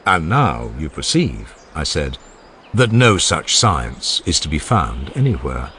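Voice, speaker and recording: Deep-voiced man, expressive formal audiobook narrator. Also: takes it slowly, a little hiss or hum. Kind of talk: dramatized scripted reading of a classical philosophical dialogue.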